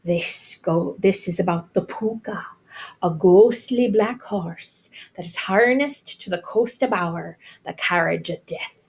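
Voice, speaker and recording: A woman's voice: lowish voice, measured pace, creaky, a little mellow. A woman voicing a jolly Irish immigrant father in a storybook, a theatrical storyteller who draws out his words. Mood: spooky.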